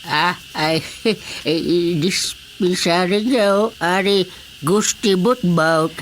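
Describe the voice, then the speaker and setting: Very slow, doddering comic servant. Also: female voice, fairly low pitch, slight background noise. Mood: teasing. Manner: halting, cackling, muddled. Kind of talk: Ilocano comedy radio drama acting.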